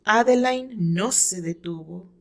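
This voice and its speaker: A woman's voice: medium pitch, slow. A woman with a Mexican accent reading fiction aloud as a dramatic narrator. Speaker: author narrating her own fiction.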